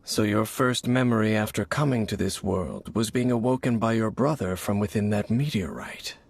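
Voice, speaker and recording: An actor playing a mysterious, reserved warrior in a video game, grave and gravelly, speaking in a solemn, formal, measured way. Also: male voice, dry recording. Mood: pensive. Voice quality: low, gravelly. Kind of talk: dramatic video game character acting. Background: background music.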